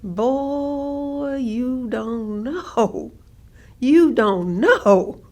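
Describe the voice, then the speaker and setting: Proud, defiant working mother played by an actress, high-pitched, very slow, deliberate emphasis, staged-reading monologue. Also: expressive, mellow, heavily acted. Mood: indignant, weary. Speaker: stage actress performing oral history.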